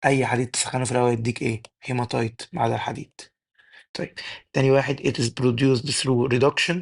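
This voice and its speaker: Fast-talking Egyptian man, voice going hoarse. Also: medium pitch. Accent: Egyptian Arabic. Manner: rapid drilling, asks and answers his own questions. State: losing his voice. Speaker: chemistry teacher. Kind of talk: exam-prep tutoring lesson.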